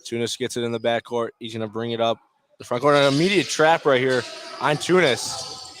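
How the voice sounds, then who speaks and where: A male play-by-play announcer calls a basketball game live for radio. He speaks in clipped phrases and gets excitable on big plays. His voice sits at a middling pitch, with clear gym noise around it. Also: brisk.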